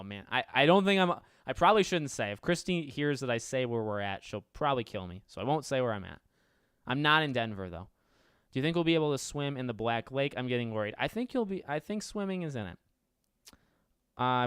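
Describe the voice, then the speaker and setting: A man with a raspy but clear, resonant voice hosts a loose livestream Q&A. He thinks out loud, corrects himself mid-sentence, and answers viewers one after another. The sound is dry and close, with no room around it. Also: mid-range voice, dry recording. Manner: rambling, self-correcting, answers chat questions aloud. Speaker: livestream host reading viewer questions. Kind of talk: casual livestream Q&A chat.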